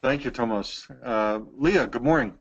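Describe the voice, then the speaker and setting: A man's voice: medium pitch, normal speed, muffled recording, a little hiss or hum. A man co-hosting and moderating an online panel, introducing speakers politely with frequent fillers. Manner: courteous, filler-heavy introductions. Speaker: co-host and moderator.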